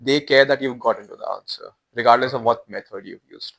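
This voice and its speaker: A man's voice: mid-range voice, brisk, vocal fry, fairly bright tone. A man in his early twenties with an Indian accent, chatting casually as a podcast guest in informal, slangy speech. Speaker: podcast guest, former exchange student.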